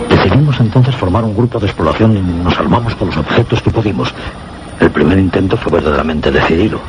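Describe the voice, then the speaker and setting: An actor with a Castilian accent playing a meteorologist who narrates frightening events in measured, formal radio theatre style. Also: male voice, deep voice, close to an everyday voice. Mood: tense. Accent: Castilian Spanish. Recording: noisy old radio drama recording.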